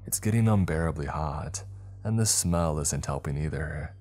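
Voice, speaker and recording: A man voicing a weary, trapped man who records a grim log in a slow, halting, subdued way for a horror story. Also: extremely low pitch, darkish tone, soft background music.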